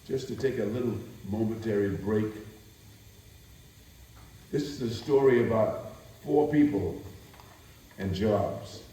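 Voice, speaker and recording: An older man, a stage performer, telling stories and introductions live in a deliberate, theatrical way with pauses. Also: lowish voice, mellow. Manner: deliberate, theatrical, pausing between phrases. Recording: very echoey room, distant microphone.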